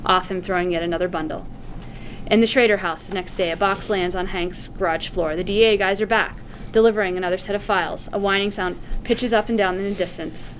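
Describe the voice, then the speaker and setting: A woman's voice: medium pitch, fairly even intonation, brisk, slight background noise. A woman reading scripted stage directions and narration aloud at a screenplay table read.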